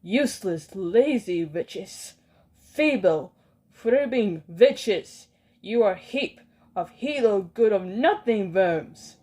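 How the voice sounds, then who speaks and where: A woman's voice: on the low side, unhurried, few pauses, darkish tone, lightly acted. A woman reading a storybook aloud and voicing a furious, domineering female witch leader who shrieks orders in stilted, oddly worded speech.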